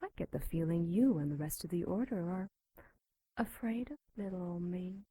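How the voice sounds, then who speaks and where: A woman playing a seductive, mocking captive female demon in an audio drama, taunting with breathy whispers and moans. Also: on the low side, expressive, moderate pace, dark tone, dry recording.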